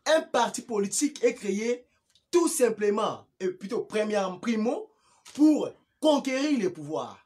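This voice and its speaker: Male political commentator, Congolese accent, high register, emphatic and repetitive, unscripted with halting restarts. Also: big pitch swings, darkish tone. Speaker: political party activist and commentator.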